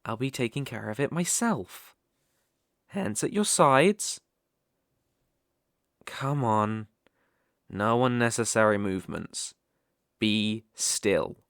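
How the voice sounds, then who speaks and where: A British man voicing a possessive, smug dark elf master in an intimate ASMR roleplay, teasing and condescending as he coaxes. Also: medium pitch, no echo, studio quality. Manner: teasing, condescending, coaxing, with soft chuckles.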